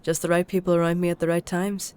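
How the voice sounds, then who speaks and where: An actress playing a composed, earnest and principled healer in a dark fantasy audio drama. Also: female voice, lowish voice, flat delivery, fast talker, clear, resonant tone, natural voice. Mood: calm, gentle.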